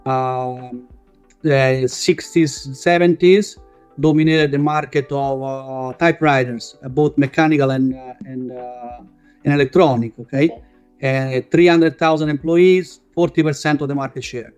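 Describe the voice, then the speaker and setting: A man from northern Italy with an Italian accent, speaking haltingly as a guest on a panel discussion, with frequent filler sounds. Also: medium pitch, fairly even intonation, darkish tone, clear, resonant tone, soft background music. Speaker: guest, engineering manager.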